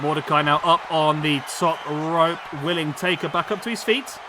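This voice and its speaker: Hoarse guy calling a game match, kinda high voice, gets excited, loud noise behind him. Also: moderate pace. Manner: excitable, bursts of exclamation. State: hoarse, losing his voice. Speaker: gaming YouTuber. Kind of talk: play-by-play commentary over a simulated wrestling match. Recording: clear background noise under voice.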